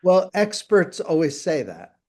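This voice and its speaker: A man's voice: high-pitched, sing-song, unhurried, a little mellow, husky. Chatty middle-aged man hosting an interview. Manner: chatty, rambling questions with restarts. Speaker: interview host.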